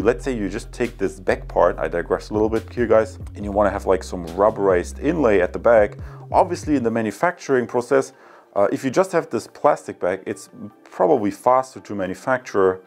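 Low-pitched chatty man reviewing products. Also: a little mellow. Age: 30s. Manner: chatty, rambling, digressive. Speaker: product reviewer and channel host. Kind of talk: casual unscripted review talk to camera. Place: indoors in a home room.

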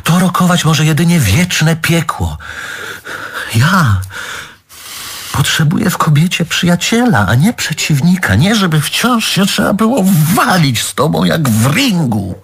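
Stage drama: needy, bitter man in middle age, emphatic and self-correcting, very animated delivery. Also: fairly high pitch, measured pace, husky, exaggerated voice. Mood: wounded. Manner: emphatic, self-correcting, swallows curses mid-word. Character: bitter, needy, aggrieved middle-aged male lover, ranting. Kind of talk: stage play monologue.

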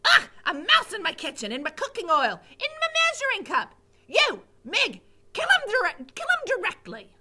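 A woman voicing a bossy, bad-tempered cook who shouts and scolds in rough speech. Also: high-pitched, sing-song, normal speed, full character voice. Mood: outraged.

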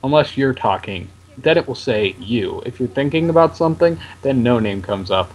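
A man with a deep voice chatting casually and reading game text aloud while playing. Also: lively intonation, moderate pace, low-quality recording. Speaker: let's play co-host. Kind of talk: casual gaming commentary.

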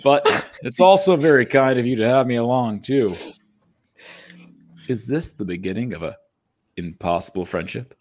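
A man's voice: medium pitch, lively intonation, normal speed, long pauses, darkish tone, lightly acted. A man playing an earnest, roguish young space smuggler in a comedic live table read. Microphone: home video call.

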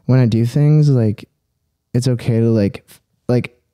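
A young adult man telling personal stories casually as a podcast guest, halting and rambling, with frequent swearing. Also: mid-range voice, mellow, clear, resonant tone, dry recording.

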